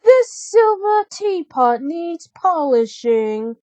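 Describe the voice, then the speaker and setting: Woman putting on a shrill, bossy young stepsister. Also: very animated, warm tone, no room sound, dull audio, heavily acted. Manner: demanding, drawn-out calls. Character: bossy, spiteful young stepsisters ordering chores.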